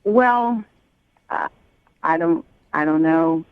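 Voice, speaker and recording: A woman's voice: low voice, takes it slowly, smooth, no echo. A young woman with an American accent, a guest talking casually and a bit hesitantly over a phone call. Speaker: called guest on a radio show.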